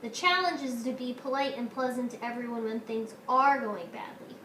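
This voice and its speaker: A woman's voice: fairly high pitch, moderate pace, sharp tone, roomy sound, slight background noise, low-quality recording. A woman voicing a stern, exasperated mother bear in a storybook, firm and scolding but restrained. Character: stern, exasperated mother bear correcting her children's manners.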